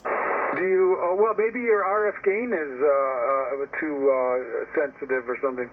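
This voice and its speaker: A man's voice: mid-range voice, unhurried. A hesitant man in a casual technical chat as an amateur operator, heard over a radio transmission. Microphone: heard over a radio link. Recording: tinny narrowband radio with static noise.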